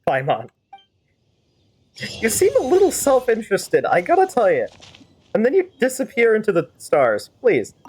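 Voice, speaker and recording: A woman's voice: fairly low pitch, a little mellow, slight background noise. A woman game streamer improvising wry, teasing commentary and joking replies to the characters. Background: game music.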